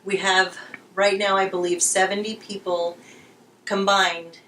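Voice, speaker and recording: A woman volunteer giving a documentary interview, talking in a blunt, deliberate way. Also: medium pitch, level tone, a bit bright, roomy sound. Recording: distant mic with faint noise.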